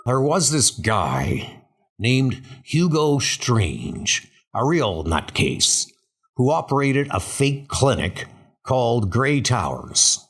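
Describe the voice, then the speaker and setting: A man's voice: medium pitch, sing-song, takes it slowly, a bit bright, slightly rough, exaggerated voice. Man acting an agitated, haunted gangster boss. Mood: callous, blunt. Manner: blunt, streetwise, increasingly frantic. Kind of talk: amateur comic dub acting. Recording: music behind the voice.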